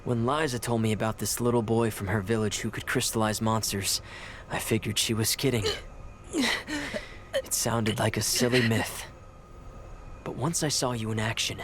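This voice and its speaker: An actor playing a driven, scheming ritual caster in a fantasy video game, with a measured, assured, explanatory delivery. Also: male voice, mid-range voice, fairly bright tone, slight background noise, natural voice. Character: driven, scheming adult male ritual caster, outwardly composed. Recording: music under the voice. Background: game music.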